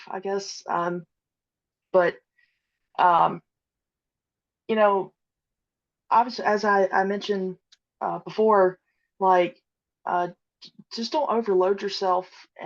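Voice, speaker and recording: Woman, dull lo-fi call sound, mid-pitched, hesitant, modest, casual podcast guest. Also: fairly even intonation, unhurried, pauses often. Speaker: podcast guest, audio drama creator. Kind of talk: casual interview chat.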